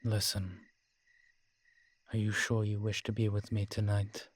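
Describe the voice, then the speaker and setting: A man playing a world-weary, morose male vampire in a roleplay audio drama, speaking in a measured, formal, brooding manner with sighs and pauses. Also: lowish voice, completely flat delivery. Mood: worried, grim. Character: weary old male vampire, morose realist, formerly cruel.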